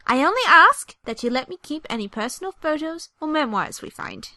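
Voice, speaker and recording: A woman's voice: high voice, very animated, brisk, pushed and bright, no echo, full character voice. A woman voicing an eager, bookish young female pony in an audio drama, earnest and easily flustered. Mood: earnest, explaining. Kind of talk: animated audio drama acting.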